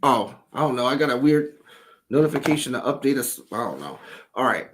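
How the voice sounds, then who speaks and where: A man's voice: mid-range voice, brisk. A man hosting a casual livestream, talking loosely and animatedly as he breaks down video footage, with the odd curse. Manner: animated, loose storytelling with profanity.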